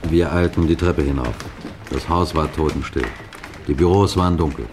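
Deep-voiced, authoritative police detective. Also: male voice, over music. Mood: tense narration. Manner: measured, deliberate, emphatic storytelling. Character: authoritative male police detective recounting his case. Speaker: narrator and lead actor. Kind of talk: vintage German radio crime drama narration.

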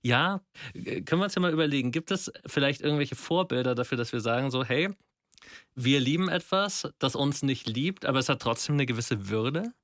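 A man's voice: medium pitch, animated, a little fast, a bit bright, dry recording, studio quality. A man talks reflectively and colloquially, in long, wordy turns of conversational discussion.

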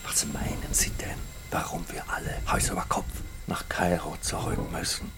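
An actor playing an anxious, flustered professor in a radio play, speaking with hushed urgency. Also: male voice, extremely low pitch, little pitch movement, moderate pace, gravelly, in a whisper. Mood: urgent, secretive.

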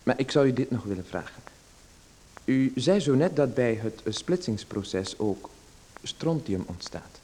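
Guy doing a polite unsure gentleman, husky and mellow, halting, radio drama. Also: mid-range voice, very animated. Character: polite, hesitant well-known man being sold to. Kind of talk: radio play acting.

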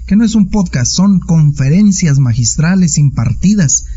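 A man's voice: on the high side, expressive, moderate pace, mellow, breathy edge. A man with a Mexican accent leaving a polite, formal voice message, with some fillers. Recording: dull lo-fi recording.

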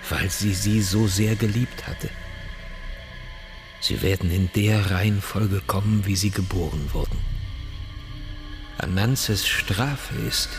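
A village medicine man speaks low and very slowly. He is grave and knowing, delivering prophecy solemnly in a radio drama, with music clearly underneath. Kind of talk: audio drama acting.

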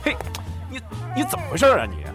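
An actor playing an excitable, distrustful dog owner in a modern audio drama, blustering and argumentative. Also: male voice, very high-pitched, fairly quick, heavily acted. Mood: indignant. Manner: blustering, foul-mouthed, argumentative. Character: excitable, distrustful male dog owner, devoted to his pet. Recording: studio drama with music behind.

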